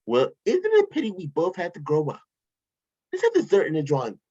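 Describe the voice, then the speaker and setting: A man's voice: sits high, wildly swooping pitch, fairly quick, warm tone, breathy edge, exaggerated voice. A man reading a breezy, casual billionaire in an online table read, with a loose, casual delivery. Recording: dull lo-fi home mic.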